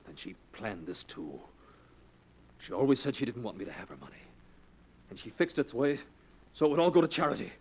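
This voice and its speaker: An unhinged greedy killer. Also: male voice, medium pitch, sing-song, fairly quick, long pauses, darkish tone, slightly rough. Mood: stunned. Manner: menacing, unhinged. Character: greedy young man turned murderer. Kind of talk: 1940s radio acting.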